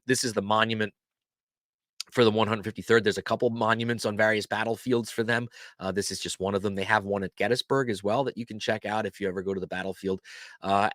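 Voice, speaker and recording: A man's voice: lowish voice, fairly bright tone, no room sound, clean studio sound. A man hosting a casual history lesson, talking conversationally with frequent fillers and self-corrections. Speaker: channel host teaching local history. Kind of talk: casual educational talk to camera.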